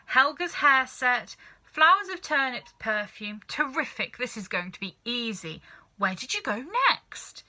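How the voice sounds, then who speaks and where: A woman voicing a manic, excitable middle-aged farmer father in a children's storybook, exclaiming urgently with stretched-out words. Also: fairly high pitch, voice pushed up, heavily acted. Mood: delighted. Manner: exclaiming, urgent, swooping emphasis with drawn-out words. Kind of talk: children's storybook character reading.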